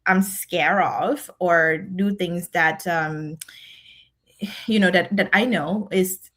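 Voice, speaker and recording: A woman's voice: lowish voice, fairly even intonation, slow, fairly bright tone. A woman with a Thai accent, a guest on an interview podcast, speaking in an earnest, reflective way and laughing often. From Thailand. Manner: earnest and reflective, laughs often, repeats words while thinking. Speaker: guest, decision scientist and speaker.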